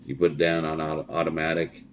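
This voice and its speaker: Casting: male, middle-aged, extremely low register, folksy trucker type, unscripted casual gear review, slight ambient noise behind. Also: monotone, quick pace. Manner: plain-spoken, folksy, repeats words as he goes. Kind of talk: casual product review chat.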